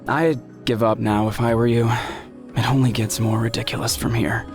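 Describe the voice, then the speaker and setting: Game role: sarcastic doubting man acted by a man, medium pitch, condescending and dismissive. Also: little pitch movement, moderate pace, over music, lightly acted. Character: dismissive male skeptic, scornful of old legends. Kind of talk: video game voice acting.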